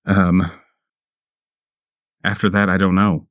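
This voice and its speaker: A man playing a tabletop roleplaying game, improvising in character in a halting, hesitant way. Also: sits low, level tone, a bit bright, clear, resonant tone, dry recording, clean studio sound. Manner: halting, hesitant, searching for words. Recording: music playing behind the voice. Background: music.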